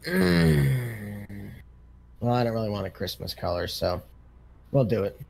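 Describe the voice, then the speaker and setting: A man's voice: lowish voice, sing-song, a little slow, vocal fry, darkish tone, slightly rough, full character voice. A man playing an easygoing farm equipment dealership salesman in casual, rambling improvised game role-play. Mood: casual, businesslike.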